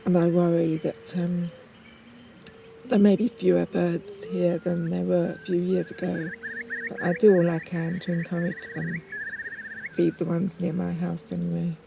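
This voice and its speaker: A woman speaking reflectively and unscripted in a documentary, thoughtful and halting, with frequent pauses. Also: on the low side, little pitch movement, vocal fry, dark tone, slight background noise. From northern England. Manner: halting, pausing often, thoughtful. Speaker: poet.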